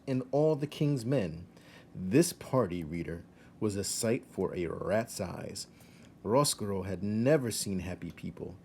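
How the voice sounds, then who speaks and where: A man with a low, soft-edged voice reads a children's story aloud. His delivery is measured and expressive, leaning on dramatic emphasis and hushed asides. There is clear background noise on the recording. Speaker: teacher reading a children's novel aloud.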